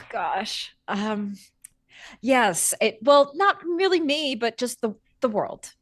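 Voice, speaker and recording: Casting: female player as young vampire peacemaker for tabletop roleplay, flustered and hesitant, highish swooping voice, dry sound. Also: animated, no echo. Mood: flustered, explaining. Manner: hesitant, halting, apologetic explaining.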